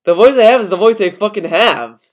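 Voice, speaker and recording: An American man from Connecticut with a voice pitched a little high, ranting in a casual vlog. He rambles, swears freely and sounds defensive as he circles back over his points. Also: brisk. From Connecticut, USA. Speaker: YouTuber.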